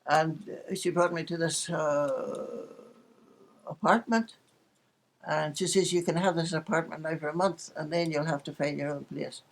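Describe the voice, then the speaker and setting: A woman's voice: low-pitched, fairly even intonation, moderate pace, rough recording. An elderly woman in her eighties with a Northern Irish accent, casually reminiscing about her life in a chatty, anecdotal way with wry humour. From Northern Ireland. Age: 80s. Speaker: interviewee recalling her life.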